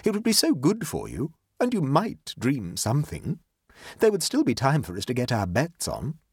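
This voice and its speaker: Male reader as a society lady hosting guests, polite, coaxing, gracious, highly animated pitch, breathy edge, storybook dialogue. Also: moderate pace, no room sound, clean studio sound, exaggerated voice. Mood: soothing, solicitous. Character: gracious, soothing society hostess, a married lady. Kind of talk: audiobook character dialogue.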